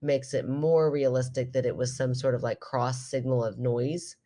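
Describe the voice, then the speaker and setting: Very low-voiced woman, casual podcast banter. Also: fairly even intonation, normal speed, dark tone. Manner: bantering, playfully argumentative. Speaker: podcast host. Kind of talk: casual chat with a co-host.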